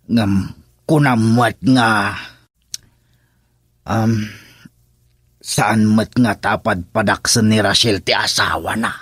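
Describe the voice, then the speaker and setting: An older father in a radio drama, calm and concerned. His Ilocano-accented voice is low and husky, and he speaks very slowly. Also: dry recording, clean studio sound, heavily acted.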